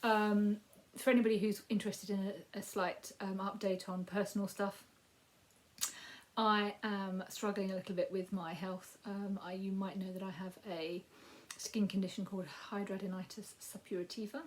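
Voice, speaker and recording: A woman in her fifties with a Yorkshire accent and a croaky voice, giving a rambling, casual crafting update with frequent ums. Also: medium pitch, flat delivery, normal speed. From Yorkshire, England. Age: 50s. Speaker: crafting podcast host.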